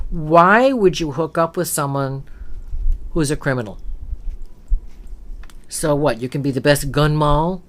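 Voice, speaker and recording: Low-voiced woman preaching on a talk show. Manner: emphatic, preachy rhetorical questions. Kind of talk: opinionated spiritual talk.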